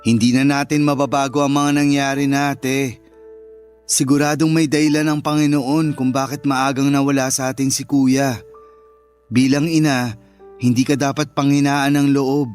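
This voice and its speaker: Actor as a higher-voiced, steadfast young brother-in-law. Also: male voice, lightly acted. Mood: gentle, consoling. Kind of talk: Filipino radio love-story drama. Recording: music bed under the voice.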